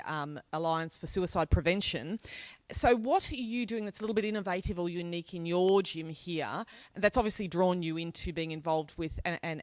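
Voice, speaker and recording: A woman's voice: lowish voice, no echo. A woman hosting a podcast, asking a guest long winding questions. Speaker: podcast host. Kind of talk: interview questions.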